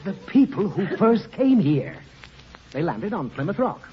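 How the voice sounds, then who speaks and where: A man's voice: sits high, big pitch swings, unhurried. An actor playing a long-suffering father, pedantic and flustered, in old radio comedy. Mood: growing exasperation. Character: exasperated, long-suffering father explaining things to his daughter. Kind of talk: 1940s radio comedy acting. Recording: muffled noisy old radio recording, studio audience.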